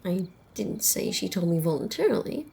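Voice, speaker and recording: A woman playing a centuries-old female vampire in an ASMR roleplay, wry, teasing and casually menacing, in a soft, breathy, often whispered voice. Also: lowish voice, big pitch swings, full character voice. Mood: wry, amused. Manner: unhurried, amused, conversational.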